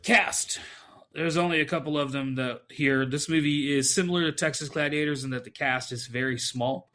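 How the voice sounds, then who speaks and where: A man's voice: a little high, moderate pace, a bit bright. A man co-hosting a film podcast, talking casually and laughing often, with plenty of fillers. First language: English. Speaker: podcast co-host. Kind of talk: casual chat about films.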